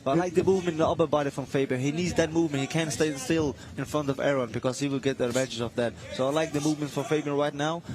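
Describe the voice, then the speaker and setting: Male boxer from Denmark as guest analyst, rapid and eager delivery. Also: mid-range voice. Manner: eager, restarts phrases, chatty. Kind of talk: live fight commentary.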